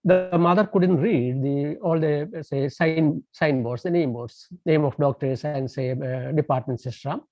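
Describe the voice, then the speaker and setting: A man's voice: fairly high pitch, normal speed, few pauses, mellow, no echo. A man whose first language is Tamil gives a lecture over a phone call, stopping often on fillers and false starts. The sound is muffled, with a narrow, lo-fi tone. The room around him sounds close and dry. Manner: hesitant, many fillers and restarts. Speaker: guest lecturer. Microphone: phone on a landline call.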